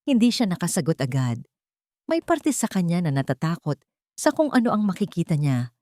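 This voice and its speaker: Woman, Filipino accent, lowish pitch, scripted dramatic audiobook narration, dry close sound. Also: very animated, normal speed, darkish tone, no room sound.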